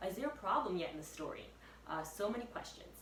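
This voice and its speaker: A woman, a first-grade teacher, reading aloud to young pupils with expressive, suspenseful storytelling. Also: medium pitch, fast talker. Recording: echoey room, distant mic, faint noise.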